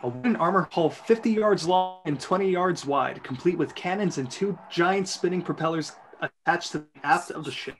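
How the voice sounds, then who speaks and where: A man's voice: on the high side, normal speed, a bit bright. A man reading stage directions as narrator in an amateur script reading.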